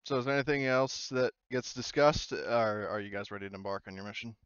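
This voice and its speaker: A man's voice: lowish voice, little pitch movement, a little fast, a bit bright, smooth, no echo, dull audio. A man running a tabletop game as game master, casual and easily amused. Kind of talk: casual game narration and chat.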